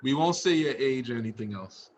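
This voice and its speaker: A man's voice: mid-range voice, animated, a little fast, darkish tone. Encouraging male interviewer with noise behind him. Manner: encouraging, empathetic toward guest and students. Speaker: interviewer and host of a school staff story series.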